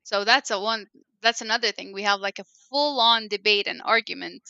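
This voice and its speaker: Bright, crisp-voiced woman. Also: medium pitch, fairly quick, dry recording, muffled recording. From Egypt. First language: Egyptian Arabic. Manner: rambling, lots of fillers and restarts. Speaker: guest, parenting consultant. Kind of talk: casual interview conversation.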